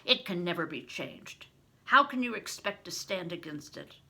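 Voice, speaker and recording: A woman's voice: fairly low pitch, lively intonation, normal speed, pushed and bright, heavily acted. An older woman reading aloud as a cruel, contemptuous medieval steward, speaking with haughty scorn.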